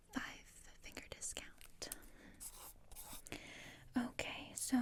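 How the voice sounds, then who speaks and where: Woman as gloomy, haughty teen girl for ASMR roleplay: very breathy whisper, dark and soft, dryly sardonic. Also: a little high, heavily acted. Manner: deadpan, dry, haughty. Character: gloomy, sardonic teenage outcast girl, coldly disdainful.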